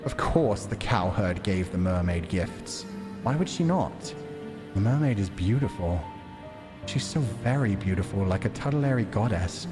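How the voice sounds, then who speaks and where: Male game streamer over prominent music. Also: on the low side. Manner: swearing, reacting aloud to the story. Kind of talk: live visual novel playthrough, casual commentary and narration.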